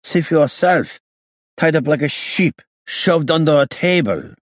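A man's voice: a little high, expressive, a little slow, no room sound, studio quality, pitched above the performer's own voice. A man voicing a surly, agitated gangster in an audiobook, irritable and protesting. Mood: aggrieved. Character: Hincus, surly, agitated gangster just freed from ropes.

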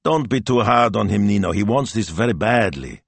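A man's voice: lowish voice, animated, moderate pace, gravelly, no echo. A man voicing a shrewd, bossy Italian wife who talks in a practical, decisive, chiding way in an audiobook. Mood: coaxing. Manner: chiding, matter-of-fact, decisive.

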